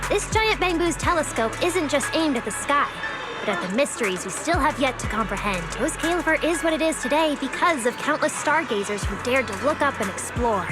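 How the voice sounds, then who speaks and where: A woman voicing a lively, chatty young city guide who explains things in video game story dialogue, with music behind her. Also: sits high, a little fast. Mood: earnest.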